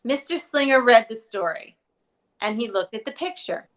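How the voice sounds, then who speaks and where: A woman's voice: on the high side, animated, moderate pace, a bit bright. A woman on a school's staff reading a children's storybook aloud and casually discussing it.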